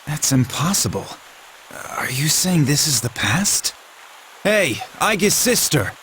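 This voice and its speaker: A man's voice: fairly high pitch, big pitch swings, slow, fairly bright tone, slight background noise, exaggerated voice. A man voicing a bewildered teenage boy in an English dub of an anime video game. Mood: puzzled, urgent.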